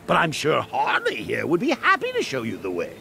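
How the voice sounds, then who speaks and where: An actor playing a manic, playful, mocking clown villain in a video game, wheedling and exaggerated. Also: male voice, a little high, huge pitch swings, fairly quick, gravelly, sharp tone, full character voice. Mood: mock apologetic. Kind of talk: video game cutscene acting.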